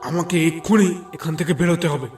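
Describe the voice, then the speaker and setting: A man's voice: fairly high pitch, quick pace, darkish tone, recorded from a distance, natural voice. A man playing a rustic village husband in a horror cartoon, recorded with music behind him. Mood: alarmed, menacing. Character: rustic village husband fetching his cow, later a ghost. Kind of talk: Bengali horror cartoon voice acting.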